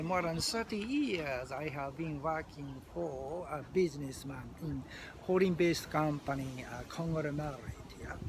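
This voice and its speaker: Older Japanese-accented man, video diarist, high lively voice, slow, halting; traffic noise behind. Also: gravelly. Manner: halting, many fillers and restarts. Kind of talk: unscripted video diary.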